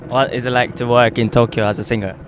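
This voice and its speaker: A man's voice: mid-range voice, little pitch movement, quick pace, noisy background. A man hosting an interview, asking short, simple questions in plain words and nodding along with brief "mm"s. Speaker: interviewer, host.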